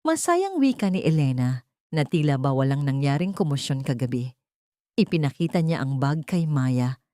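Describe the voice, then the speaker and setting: Low-pitched woman narrating drama. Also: very animated, moderate pace, mellow, no echo, studio quality. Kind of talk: dramatic scripted audio story narration.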